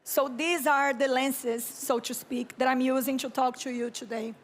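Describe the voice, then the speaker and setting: A Brazilian woman, an architect and urban planner in her thirties, delivers a keynote on a conference stage. Her English carries a Brazilian Portuguese accent and her voice sits high. She speaks in a slow, calm, reflective way and often clears her throat.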